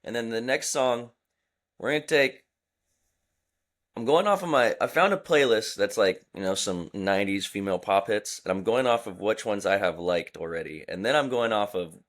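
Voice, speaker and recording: A man's voice: on the low side, normal speed, fairly bright tone. A man in his twenties co-hosting a podcast, talking in a playful, hyped-up, boastful way. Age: 20s. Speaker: podcast co-host. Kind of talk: casual podcast chat.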